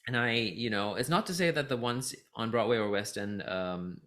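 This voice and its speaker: A man from Singapore giving a casual spoken review as a vlogger, thinking aloud in a halting, reflective way and stressing key words. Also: mid-range voice, fairly bright tone. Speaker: vlogger reviewing musicals, voice actor and teacher.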